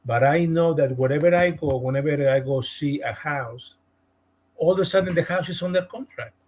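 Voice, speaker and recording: A man with a Spanish-speaking Latin American accent, a real estate agent guest telling a story in an animated podcast interview. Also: on the high side, normal speed. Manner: animated, retells conversations with emphasis.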